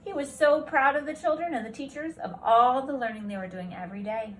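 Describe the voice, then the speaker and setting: A woman's voice: high voice, a little fast, slight background noise, low-quality recording. A woman narrating a children's storybook aloud expressively, like a teacher reading to children at home. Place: at a kitchen table at home.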